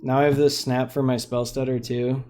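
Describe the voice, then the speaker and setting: Chill young guy narrating his card game, mellow voice, rambly with lots of ums. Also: medium pitch, flat delivery, normal speed. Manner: laid-back, rambling, lots of fillers. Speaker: streamer playing a card game. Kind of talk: casual gameplay commentary.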